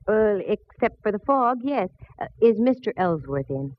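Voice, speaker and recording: An actress playing a bold, wisecracking female private detective with a brisk, sardonic manner in 1940s radio style. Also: medium pitch, very animated, lightly acted. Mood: sardonic, businesslike. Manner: brisk, sardonic, self-assured. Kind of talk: 1940s radio acting, dialogue and first-person narration. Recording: muffled, noisy old radio recording.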